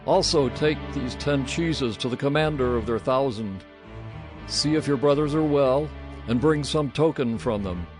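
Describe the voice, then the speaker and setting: An actor playing an old, practical father giving measured instructions in a dramatized scripture recording. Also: mid-range voice, music in the background, lightly acted. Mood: caring, instructing.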